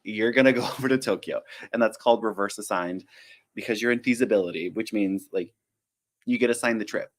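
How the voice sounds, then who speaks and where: A man's voice: fairly low pitch, fairly quick. A man, a flight attendant hosting a casual live-stream Q&A, chatty and self-deprecating, drifting into tangents and acting out little quotes.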